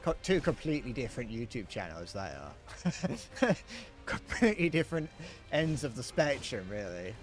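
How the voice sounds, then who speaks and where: A man's voice: medium pitch, big pitch swings, moderate pace, soft background music. A young man streaming a game, chatting casually in a rambling, self-deprecating way that keeps trailing off mid-sentence. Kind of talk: casual chat while gaming.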